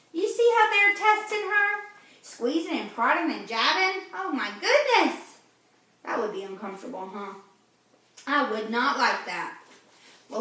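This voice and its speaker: Woman, storybook read-aloud for kids: high, bright voice, exclaiming teacher-like delivery. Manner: animated, exclaiming, teacher-like questioning. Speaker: reader of a children's read-aloud. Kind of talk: expressive storybook read-aloud with asides to young listeners. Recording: very echoey room, distant mic.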